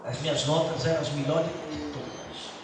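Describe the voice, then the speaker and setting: Middle-aged pastor in an echoey hall. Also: male voice, fairly high pitch, fairly even intonation. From Brazil. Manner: earnest personal testimony, halting restarts. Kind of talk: church teaching talk. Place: church hall. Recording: echoey church hall from far off, noisy.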